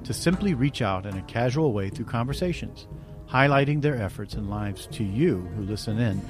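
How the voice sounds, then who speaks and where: Earnest podcast host, man, low voice, music behind. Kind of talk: scripted podcast intro, then interview.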